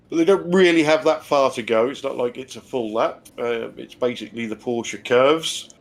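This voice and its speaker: Man calmly calling a sim race. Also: mid-range voice, a little slow, long pauses. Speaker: sim racing commentator. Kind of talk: live race commentary.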